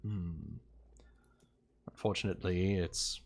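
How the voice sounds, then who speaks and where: A man playing in an online tabletop roleplay session, talking in a measured, deliberate way. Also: deep voice, flat delivery, darkish tone. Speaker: tabletop game player.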